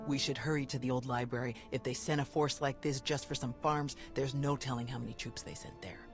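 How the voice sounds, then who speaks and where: An actress playing an earnest, troubled young Jedi in a video game, intense and impatient. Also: female voice, very deep voice, steady tone, a little fast. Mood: urgent. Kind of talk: video game dialogue acting. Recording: music behind the voice.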